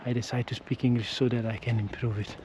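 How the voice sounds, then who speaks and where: A man's voice: medium pitch, flat delivery, quick pace, darkish tone, a little hiss or hum. A man with an Italian accent, a non-native English speaker, vlogging casually while walking outdoors, often restarting and correcting himself. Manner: hesitant, frequent restarts and self-corrections. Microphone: action camera with windscreen.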